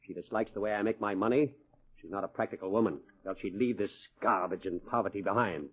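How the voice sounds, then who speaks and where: A man's voice: fairly low pitch, fast talker. An actor playing a stern, middle-aged wealthy rancher father, blunt and commanding, in 1940s radio drama style. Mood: brisk, scornful. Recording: muffled, husky old-time radio recording.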